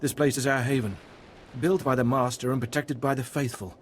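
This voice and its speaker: A man playing a devout, guarded cult mentor in a video game, speaking in a formal, reverent, measured way. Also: mid-range voice, fairly even intonation, a little hiss or hum, close to an everyday voice. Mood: solemn, reverent. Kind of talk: scripted video game voice acting.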